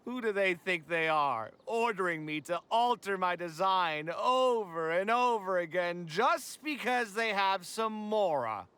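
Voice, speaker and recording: A man's voice: sits high, a little slow, few pauses, clear, resonant tone, exaggerated voice. A man voicing a dramatic, easily exasperated young architect in a video game, with theatrical delivery. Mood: aggrieved, exasperated. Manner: theatrical, expressive. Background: game music.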